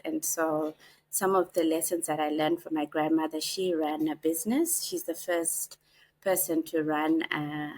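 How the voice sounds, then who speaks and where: Lady in her 40s, low voice, thinks out loud with lots of ums, podcast guest. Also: vocal fry, slight background noise. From Zimbabwe. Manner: reflective, unhurried, pauses with frequent "um". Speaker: guest, author and executive coach. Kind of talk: podcast interview, personal storytelling.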